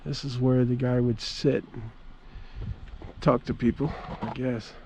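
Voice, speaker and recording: A man with a relaxed, mellow voice of medium pitch talks casually as he walks around outdoors. He is folksy and plain-spoken, rambling from one remark to the next. A little ambient vehicle noise sits behind him on the outdoor recording. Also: a little slow, rough recording. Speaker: amateur radio vlogger. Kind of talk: casual walk-around vlog narration. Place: outdoors at a campground.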